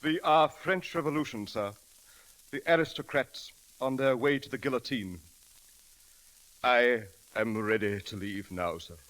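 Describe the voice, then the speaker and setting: An actor playing a proper, deferential English valet in a radio comedy, with a British accent and a hesitant, formal way of speaking. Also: male voice, mid-range voice, a little slow, a bit bright, close to an everyday voice. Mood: dutiful. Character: proper, deferential English valet, stiffly polite and quietly dismayed. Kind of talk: 1940s radio comedy acting. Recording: crackly old radio broadcast recording.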